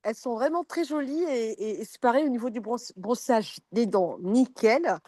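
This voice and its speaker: Hesitant woman with a higher voice. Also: moderate pace, mellow, no room sound, clean studio sound. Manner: hesitant, many fillers and restarts, friendly. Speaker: customer giving a testimonial. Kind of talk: casual testimonial on a video call.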